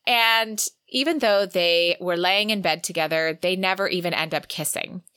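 A woman's voice: on the low side, bright, forceful tone, smooth, no room sound. A woman co-hosting a podcast, speaking in a conversational presenting style. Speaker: podcast co-host. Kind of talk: conversational story recap and show notes.

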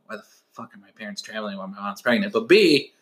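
A young man from the United States in casual chat as a podcast co-host, curious and questioning, quick to laugh. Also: on the low side, animated, a bit bright. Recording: roomy echo.

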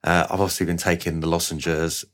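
Hoarse man hosting a podcast. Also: low-pitched, level tone, brisk, a bit bright. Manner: self-mocking, joking. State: sore throat, losing his voice. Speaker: podcast host. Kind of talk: casual podcast chat.

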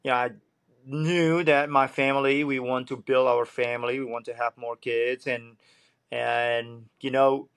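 Guest coach, earnest, level-toned, dry sound. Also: male voice, mid-range voice, flat delivery, measured pace, a bit bright, clean tone, no room sound. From Indonesia. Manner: earnest, searching for words. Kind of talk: casual interview.

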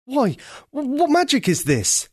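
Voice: medium pitch, big pitch swings, moderate pace, darkish tone, no room sound, clean studio sound. A narrator voicing a noble young captive prince. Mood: amazed. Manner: formal, earnest pleading.

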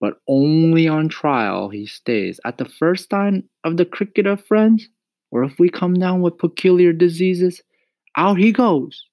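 A man's voice: on the high side, expressive, unhurried, a little mellow, no echo, pitched above the performer's own voice. A man voicing a stern, scornful mother in a storybook, scolding emphatically in stilted immigrant phrasing. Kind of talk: acted storybook reading.

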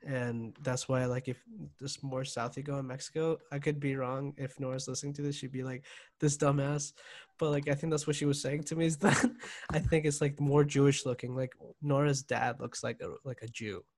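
A man's voice: medium pitch, steady tone, moderate pace, darkish tone. A man co-hosting a podcast, chatting casually while watching an old game, laughing often and joking. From Germany. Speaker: podcast co-host. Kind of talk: casual chat.

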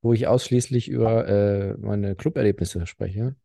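A man's voice: fairly low pitch, fairly even intonation, measured pace, creaky, dark tone, dry recording, clean studio sound. A man co-hosting a casual podcast, rambling and full of fillers as he tells stories. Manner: rambling, filler-heavy storytelling. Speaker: podcast co-host. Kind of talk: casual chat.

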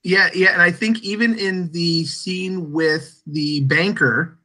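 Man, podcast host, higher-pitched, forceful bright tone, hesitant phrasing, interview style. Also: fairly even intonation, slow.

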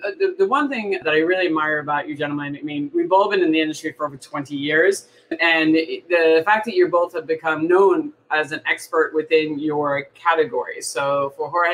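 A woman in her forties hosting an interview podcast, upbeat and quick to laugh. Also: deep voice, fairly bright tone, slight background noise, poor audio. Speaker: podcast host.

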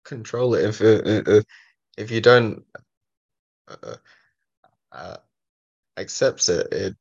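A young man speaks very slowly with a heavy stutter, repeating words and filling his pauses with uh. His voice sits fairly low and the audio is dull and muffled. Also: lively intonation, gravelly, husky. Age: 20s. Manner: heavy stutter with many repetitions and fillers. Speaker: college student guest. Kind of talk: interview answers.

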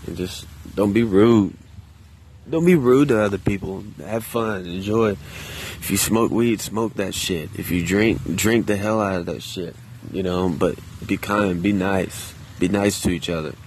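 A man talking to himself in a park in a quiet, subdued, hesitant way, with many restarts and casual swearing. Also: sits low, measured pace, a little hiss or hum. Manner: loose and conversational with casual swearing. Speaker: vlogger. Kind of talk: casual solo monologue. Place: outdoors in a park.

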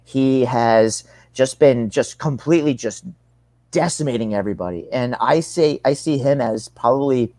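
Young man, medium pitch, casual interview guest, earnest, rambling, frequent rephrasing, dry recording. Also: moderate pace, a little mellow, no echo. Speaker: interview guest, combat sports athlete. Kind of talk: casual conversational interview answers.

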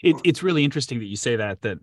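A man's voice: medium pitch, animated, very fast, no room sound. A man hosting an interview, asking long, thoughtful questions that ramble a little. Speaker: interview host. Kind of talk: casual interview talk. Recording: dry close sound.

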